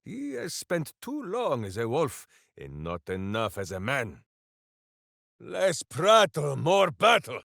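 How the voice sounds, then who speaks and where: A man's voice: fairly high pitch, wildly swooping pitch, slow pace, vocal fry, a bit bright, no echo, clean studio sound, exaggerated voice. A man playing a dim, big-hearted warrior hero in a video game, boisterous and theatrical, speaking of himself in the third person. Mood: earnest, simple-hearted. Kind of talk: video game character voice lines.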